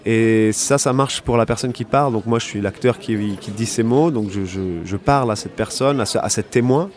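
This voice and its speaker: A man's voice: medium pitch, a little mellow. Reflective man weighing his words. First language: bilingual French and Italian. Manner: reflective, halting, many fillers. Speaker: actor and director, guest. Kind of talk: interview.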